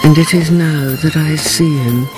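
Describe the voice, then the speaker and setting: An actress playing a grave, haunted woman who narrates a radio drama in dramatic, deliberate, weighty phrases. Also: sits low, fairly even intonation, music in the background. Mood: grave, bitter.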